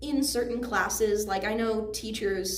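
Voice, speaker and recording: A woman's voice: on the high side, a little slow. Young woman in an echoey room. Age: teens, high school student. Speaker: student actor being interviewed. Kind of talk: casual interview answers. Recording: roomy echo, faint background noise.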